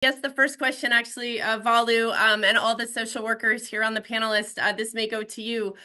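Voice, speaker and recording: Bright-voiced woman moderating a webinar. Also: a little high, flat delivery, brisk. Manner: hesitant, reading out an audience question.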